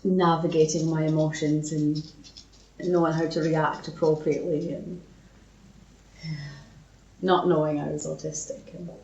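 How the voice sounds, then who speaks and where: A woman's voice: sits low, level tone, unhurried, creaky, pauses often, dark tone. A woman co-hosting a podcast, chatting casually and reading old messages aloud. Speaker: podcast co-host. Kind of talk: casual chat and dramatic reading of old arguments. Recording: dull, echoey room sound.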